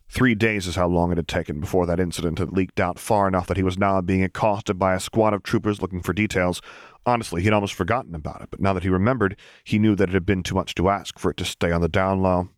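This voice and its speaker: A man's voice: low voice, little pitch movement, fast, no room sound, studio quality. A man reading scripted story narration as an audiobook narrator.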